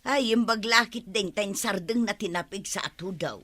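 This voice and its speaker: A woman's voice: medium pitch, moderate pace, fairly bright tone, dry recording, close to an everyday voice. A woman playing an anxious village mother in a comedy drama, speaking with an Ilocano accent. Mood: concerned.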